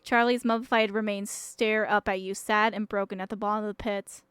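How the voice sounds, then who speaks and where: A woman's voice: medium pitch, fairly even intonation, fairly quick, fairly bright tone, clear, resonant tone, no room sound. A woman game streamer giving blunt, jokey, sweary commentary and reading story narration aloud in a casual way.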